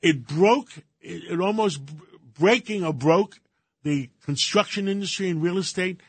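An older Greek-born man, a radio co-host, who talks casually in halting bursts with many fillers and restarts. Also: sits high, slightly rough, dry recording. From Greece.

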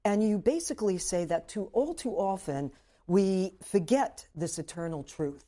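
A woman hosting an interview, asking her questions in a measured, halting way with fillers. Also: mid-range voice, animated, warm tone. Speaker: interviewer, host.

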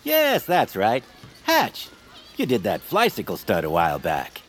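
A man's voice: on the low side, wildly swooping pitch, a little slow, fairly bright tone, slight background noise, heavily acted. An actor playing a dashing, courteous male game show host in a comedy audio drama, polished and polite. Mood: admiring.

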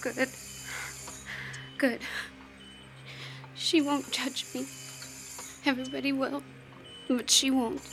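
A woman's voice: high-pitched, level tone, slow pace, long pauses, fairly bright tone, faint music behind, exaggerated voice. An actress playing a dazed, anxious teenage girl who speaks falteringly in an audio drama. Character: dazed, grieving teenage party girl in hospital.

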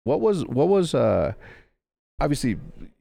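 A man's voice: mid-range voice, sing-song, unhurried, vocal fry, dark tone. A man from the Texas-Mexico border, hosting a podcast and asking questions in casual conversation. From El Paso, Texas and Juarez, Mexico. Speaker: podcast host. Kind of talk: casual interview chat.

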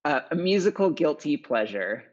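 A man's voice: a little high, big pitch swings, moderate pace. Encouraging male host, dull lo-fi sound. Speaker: podcast host. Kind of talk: conversational interview. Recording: dull lo-fi audio.

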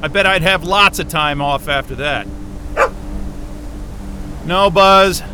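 An actor playing a weary, disgruntled scientist who mutters sardonic, profane asides in a naturalistic audio drama, with noise around him. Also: male voice, on the high side, slow pace, pushed and bright, exaggerated voice. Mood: bitter. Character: weary, disgruntled male astrophysicist talking to his dog.

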